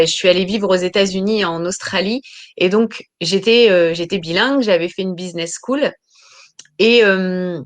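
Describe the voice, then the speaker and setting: A woman in her thirties, a business coach talking about her career in an interview, pausing often with fillers and restarting phrases. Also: mid-range voice. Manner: hesitant, many fillers and restarts. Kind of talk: interview answers.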